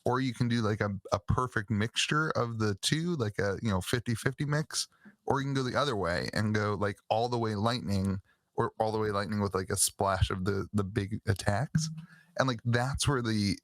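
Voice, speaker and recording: A lower-voiced man with a slightly hoarse, raspy throat, chatting on a casual podcast. He talks in halting bursts, restarting his sentences with plenty of fillers. The sound is dry and close. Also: no room sound. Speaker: podcast co-host. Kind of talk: casual chat about a card game.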